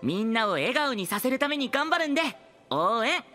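A male actor playing an excitable, cheerful young idol newcomer in an audio drama, boyish, eager and casual. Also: extremely high pitch, moderate pace, full character voice.

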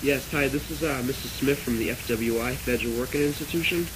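A man prank calling as a stiff, solemn government agent, hesitant and deadpan, on a noisy phone call recording. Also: mid-range voice, fast, natural voice. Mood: deadpan, official. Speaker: prank caller.

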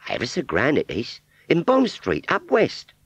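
A man's voice: mid-range voice, wildly swooping pitch, measured pace, husky, no room sound, voice pushed up, full character voice. A man voicing a giggly young female scarecrow with a Cockney accent in a storybook reading. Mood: cheeky. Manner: cheeky, giggly. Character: giggly young female plastic scarecrow, a former shop model.

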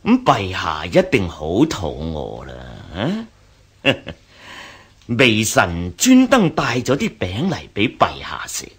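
An actor with a Hong Kong Cantonese accent playing a domineering, scheming grand general in a radio drama, husky and falsely courteous. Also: male voice, lowish voice, wildly swooping pitch, takes it slowly, a bit bright, exaggerated voice. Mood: sly, menacing. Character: overbearing, scheming male grand general, cold poisoner.

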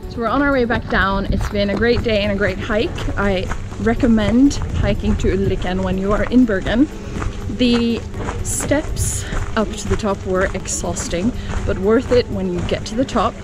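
A woman's voice: medium pitch, moderate pace, music in the background, poor audio. A woman in her thirties, a travel vlogger, talking to a handheld camera outdoors on a mountain trail in an upbeat, guiding way. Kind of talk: casual vlog narration to camera. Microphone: camera held at arm's length.

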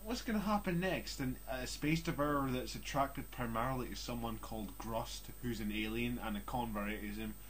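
Bright-voiced Scottish man, amused. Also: mid-range voice, moderate pace, few pauses, slight background noise. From Scotland. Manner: quizzical, laughs and teases the reader. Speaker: co-reader. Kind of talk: casual chat.

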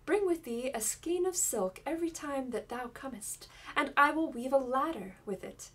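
Lady putting on a sweet naive fairy tale girl, light and higher. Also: lively intonation, moderate pace, far from the mic. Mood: gentle. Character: young maiden in a tower, gentle and naive.

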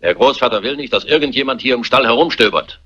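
An actor playing a gruff, menacing gardener, curt and threatening in German crime drama dialogue. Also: male voice, medium pitch, fast, sharp tone. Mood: gruff warning. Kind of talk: German crime film dubbing, theatrical dialogue. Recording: dull lo-fi vintage soundtrack.

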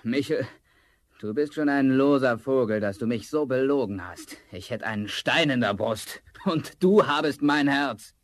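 A man's voice: medium pitch, unhurried, a little hiss or hum, lightly acted. A man playing a desperate, defiant young charcoal burner in a fairy-tale audio drama, emotional and theatrical. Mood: sly, taunting.

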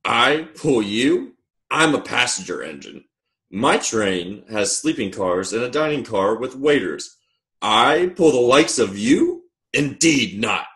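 A man's voice: medium pitch, very animated, slow, a bit bright. Man voicing a snooty passenger train engine. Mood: haughty. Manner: haughty, indignant. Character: shiny new passenger engine, snooty and dismissive.

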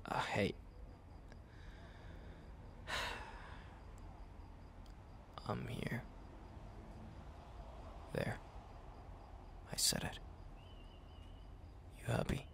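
A man playing a jealous, tender young best friend confessing love in an intimate ASMR roleplay, halting and murmuring with breathy sighs. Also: noisy background. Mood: shy, exasperated.

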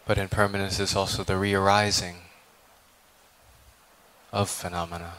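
A man leading a guided meditation as a teacher, speaking calmly and contemplatively with long, deliberate pauses. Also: low-pitched, slight background noise, low-quality recording. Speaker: meditation teacher, Buddhist monk.

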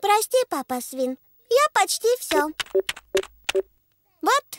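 A woman's voice: very high-pitched, sing-song, slow pace, fairly bright tone, dry recording, clean studio sound, full character voice. A woman voicing a bossy, cheerful little girl piglet in a children's cartoon. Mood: self-important play-acting.

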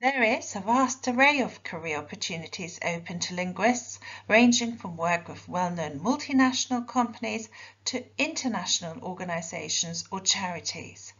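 A woman's voice: mid-range voice, animated, normal speed, a bit bright, highs cut off. Teacher woman presenting school curriculum. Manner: clear, explanatory teacher delivery. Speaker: subject leader for languages.

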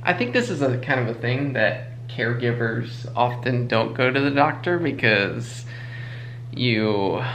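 A man's voice: medium pitch, unhurried, a little hiss or hum, low-quality recording. A man in his thirties, a vlog co-host, chatting casually and a bit ramblingly at his kitchen table. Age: 30s. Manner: relaxed, rambling, restarts his sentences. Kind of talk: casual chat.

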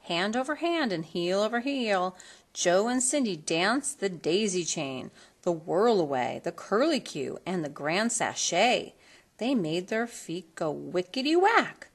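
A woman reading a children's storybook aloud in an expressive, theatrical manner that leans on colorful words. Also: mid-range voice, a little slow, husky. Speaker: storybook reader.

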